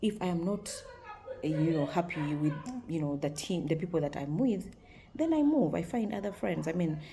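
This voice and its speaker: A woman in her forties speaks to camera in a deep, low, gravelly voice with a mellow tone. Her talk is frank and upbeat, and she laughs easily. A faint hum of background noise sits under the voice. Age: 40s. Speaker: vlogger.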